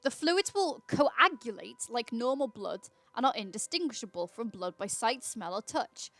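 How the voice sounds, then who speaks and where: A woman's voice: on the high side, lively intonation, moderate pace, fairly bright tone. A woman hosting a livestream, chatting casually and reading aloud informally, with small stumbles and self-corrections. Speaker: livestream host.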